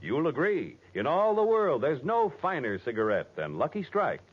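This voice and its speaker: A dull old radio recording from the 1940s presents an American male commercial announcer. He is raspy and emphatic, swinging his pitch widely through slogan-like phrases. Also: wildly swooping pitch, normal speed.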